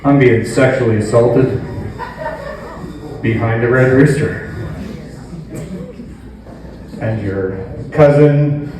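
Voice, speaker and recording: A man doing a stand-up comedy routine on stage, with a halting, rambling delivery. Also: mid-range voice. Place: indoor comedy venue stage. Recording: echoey room, distant mic, faint noise.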